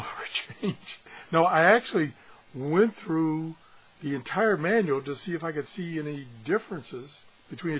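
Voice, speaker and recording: A man with a slightly husky voice gives a casual, unscripted hands-on review, pausing and thinking aloud as he goes. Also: a little high, a bit bright, a little hiss or hum, rough recording. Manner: halting, thinking aloud as he handles things. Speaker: gadget reviewer and video host.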